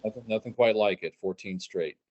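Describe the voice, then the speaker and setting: A man's voice: lowish voice, fairly quick. A man in his thirties chatting casually about sports as a podcast guest. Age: 30s. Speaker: podcast guest, stadium announcer. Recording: dull lo-fi audio.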